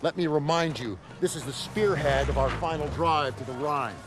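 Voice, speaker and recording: A man's voice: on the high side, normal speed, background noise, lightly acted. An actor playing a stern army officer who scolds his men in a clipped, authoritative way. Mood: angry, scolding. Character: stern male army officer, harsh and demanding.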